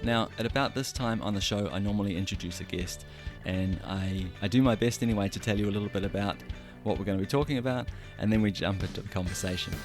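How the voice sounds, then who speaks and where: A man in his thirties from New Zealand with a New Zealand accent, hosting a solo podcast in an earnest, self-deprecating way with halting restarts. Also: on the low side, music in the background. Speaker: podcast host.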